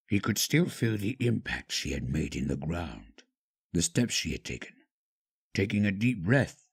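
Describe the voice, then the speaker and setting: A man narrating a scripted story dramatically, with measured, hushed phrasing and pauses. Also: sits low, vocal fry, husky.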